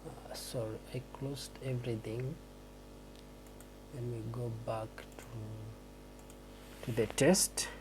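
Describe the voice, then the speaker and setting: A man giving a screen-recorded tutorial on a transcription test, explaining slowly and hesitantly with many restarts. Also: mid-range voice, steady tone, background noise.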